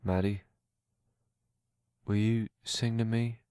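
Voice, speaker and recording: An amateur actor playing a mentally slow, gentle young man in an audio drama, earnest and childlike. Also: fairly low pitch, flat delivery, clear, resonant tone. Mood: soft, pleading.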